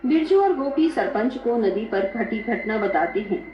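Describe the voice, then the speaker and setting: A woman's voice: on the high side, lively intonation, a little slow, a little hiss or hum. A woman narrating a scripted dramatic story. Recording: echoey, dull, music behind.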